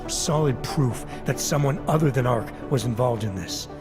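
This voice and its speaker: An actor playing a stoic, defiant special agent in a video game, speaking in a terse, dry, controlled manner. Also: male voice, medium pitch, normal speed, natural voice. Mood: accusing. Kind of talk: cinematic video game acting. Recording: game soundtrack music behind.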